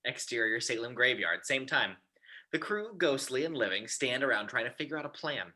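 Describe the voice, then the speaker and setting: A man with a husky voice reading scripted stage directions as narrator of a table read. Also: mid-range voice, animated, brisk, pushed and bright.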